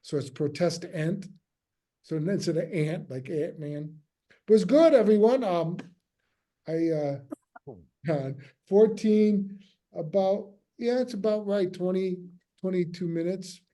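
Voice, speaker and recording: A man leading a script table read, giving casual notes in a halting, hesitant way that often trails off. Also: high voice, warm tone.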